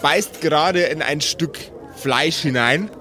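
A man narrating an improvised tabletop game as game master, in a theatrical, deliberate storytelling manner. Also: fairly high pitch, sharp tone.

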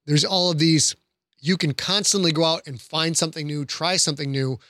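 A man's voice: on the high side, fairly even intonation, fairly quick, sharp tone, no echo, studio quality. A man on a podcast panel, speaking casually and earnestly, making encouraging points with illustrative examples.

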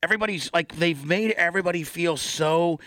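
A man with a bright, forceful voice on the higher side, recorded dry and close. He hosts a casual talk show, blustering through banter and defiantly repeating his point. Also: brisk, no echo.

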